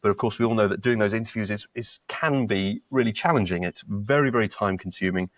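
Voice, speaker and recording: A man's voice: on the low side, quick pace, dry recording. A British man, a company co-founder, talking casually in an interview and often repeating words and restarting as he explains. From Britain. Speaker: co-founder and guest.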